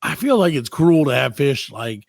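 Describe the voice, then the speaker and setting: Male comedy podcast co-host, gravelly fry, close dry sound, casual storyteller who swears and acts out dialogue. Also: medium pitch, normal speed, no room sound. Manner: animated joking, acts out imagined dialogue, swears freely.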